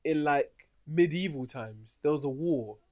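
A man's voice: fairly high pitch, unhurried, darkish tone, no echo, clean studio sound. A young man co-hosting a podcast, teasing his friend in laid-back, slangy chat. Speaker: podcast co-host. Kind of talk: casual chat.

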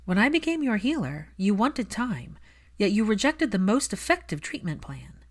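A woman voicing an earnest young female healer in a story, calm but persistent, reasoning in an insistent way. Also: mid-range voice, normal speed, voice pushed up. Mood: firm.

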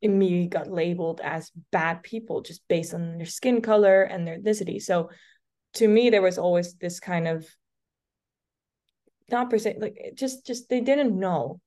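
A woman's voice: fairly low pitch, moderate pace, warm tone. A woman in her twenties from the Netherlands with a light Dutch accent, whose first language is Dutch, telling stories as a podcast guest with plenty of restarts. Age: 20s. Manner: chatty storytelling, many restarts and fillers. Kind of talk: casual podcast conversation.

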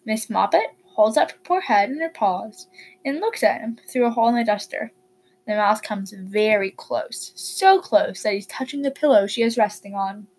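A woman's voice: a little high, lively intonation, moderate pace, a little mellow, breathy edge, rough recording. A woman reading a children's story aloud as a narrator. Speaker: story reader.

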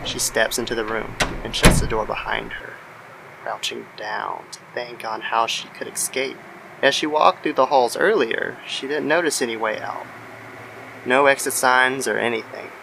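A man narrating a scripted horror story in a measured, somber manner. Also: mid-range voice, vocal fry, bright, forceful tone, slight background noise, rough recording. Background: music.

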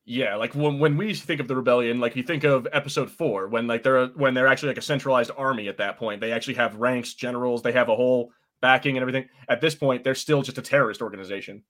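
A man's voice: medium pitch, fast, few pauses, a bit bright. Male host, mid voice, loose, chatty and rambling. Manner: easygoing, rambling, laughs often. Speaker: podcast host. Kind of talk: casual chat.

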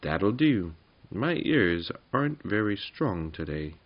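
A man's voice: sits low, sing-song, takes it slowly, muffled recording, exaggerated voice. A man voicing a tall, friendly, modest male goblin in a breezy storybook manner.

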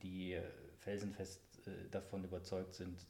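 Man, fairly low voice, interview guest, halting and deliberate, formally worded. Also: completely flat delivery, mellow. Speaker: interview guest, bookshop owner. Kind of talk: reflective interview talk.

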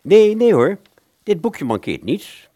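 A man's voice: high-pitched, big pitch swings, slow pace, dark tone, full character voice. An actor playing a courteous but pedantic and evasive bookshop salesman in a radio play, fussy and patronizing in manner. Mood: defensive, explaining.

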